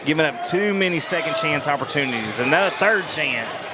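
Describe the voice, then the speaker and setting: Man calling basketball over loud gym noise. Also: fairly high pitch. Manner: quick, excitable calls with friendly banter. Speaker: play-by-play announcer. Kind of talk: high school basketball play-by-play. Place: school gym. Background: gym crowd and bouncing basketball.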